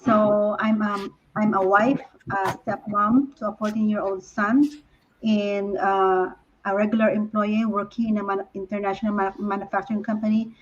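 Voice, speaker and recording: A woman from the Philippines, a guest answering interview questions in a halting way with restarts. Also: mid-range voice, flat delivery. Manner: halting, many restarts and fillers. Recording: dull lo-fi audio.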